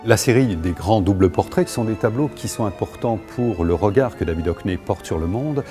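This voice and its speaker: A middle-aged man, a museum curator, explaining an exhibition in a measured, articulate, lecturing way, recorded on a lapel mic in a large gallery. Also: on the low side, expressive, over music. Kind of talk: explanatory art commentary.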